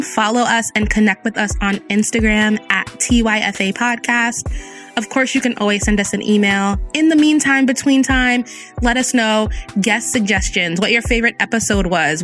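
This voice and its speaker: A woman's voice: on the high side, fairly bright tone. A woman hosting her own podcast in a friendly, chatty way, with a soothing voice and a slight speech impediment. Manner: friendly, conversational, reads listener reviews aloud. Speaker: podcast host. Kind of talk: solo podcast episode, chatty.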